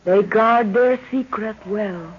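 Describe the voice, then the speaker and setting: Husky, solemn jungle witch. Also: medium pitch, takes it slowly. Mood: solemn, mysterious. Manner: solemn, formal, speaks of herself in third person. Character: commanding mystical jungle snake woman, moon witch. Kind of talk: 1930s radio drama acting. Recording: muffled old 1930s radio recording.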